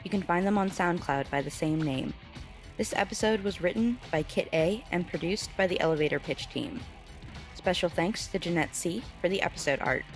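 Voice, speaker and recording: Female podcast announcer, lowish pitch, scripted closing credits, soft guitar music underneath. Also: moderate pace.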